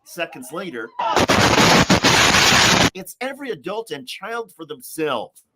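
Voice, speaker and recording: A man's voice: on the high side, sing-song, takes it slowly. A man reading scripted TV news narration as a reporter, in noisy replayed broadcast audio.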